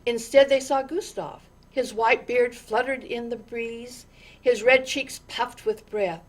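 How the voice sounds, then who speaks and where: A woman with a fairly high voice narrates a storybook for children. Her delivery is very slow and deliberate, shaped by dramatic pauses and expressive stresses on the words that matter. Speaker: storytime reader.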